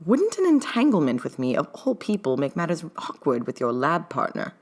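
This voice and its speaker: A woman's voice: low voice, huge pitch swings, normal speed, darkish tone, exaggerated voice. Woman voicing a prickly, formal male academic. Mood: snappish, prim. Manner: formal, precise, clipped wording.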